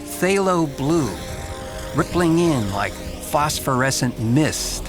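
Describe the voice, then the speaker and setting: Slow, brooding drama narrator. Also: male voice, a little high, animated. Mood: ominous. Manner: measured, ominous, atmospheric. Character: brooding, poetic male noir narrator. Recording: music clearly under voice. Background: atmospheric music.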